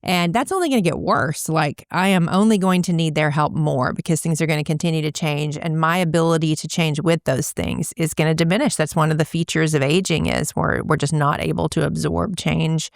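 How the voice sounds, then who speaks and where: A woman's voice: deep voice, fairly quick, gravelly, few pauses, no room sound, studio quality. A middle-aged woman, an author being interviewed in casual chat, articulate and thoughtful, speeding up as she explains. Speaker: author and guest.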